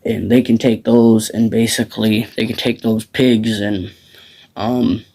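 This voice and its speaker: Slow-talking teenage boy, low voice. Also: level tone, warm tone. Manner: rambling, many fillers and restarts. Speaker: farm vlogger. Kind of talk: casual rambling talk. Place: outdoors on a farm at dusk.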